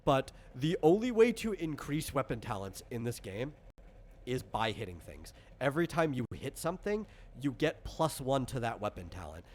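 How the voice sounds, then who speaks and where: A man's voice: medium pitch, very animated, moderate pace. A man in the role of game master, casually explaining tabletop game rules in a rambling, conversational way with lots of asides.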